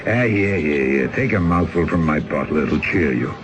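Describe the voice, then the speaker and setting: A man's voice: very deep voice, lively intonation, moderate pace. A middle-aged actor playing a worldly, fatherly male exile in a 1970s radio drama. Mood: coaxing. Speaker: older convict and storyteller.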